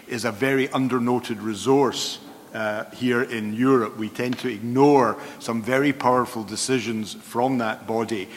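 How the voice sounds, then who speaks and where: A man's voice: mid-range voice, fairly bright tone. A man, a law professor, making a precise point with dry self-deprecating humor on an expert panel. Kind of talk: conference panel remarks. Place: large conference hall. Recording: long-reverb conference hall.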